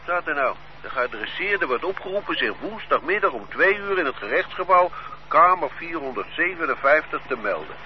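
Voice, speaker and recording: A puzzled street idler, lively intonation, fairly high voice, muffled old recording. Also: male voice, moderate pace. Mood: alarmed. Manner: lively, easily startled talk. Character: carefree male street idler, plain and bewildered. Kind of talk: radio drama acting. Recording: dull old radio play recording with faint hiss.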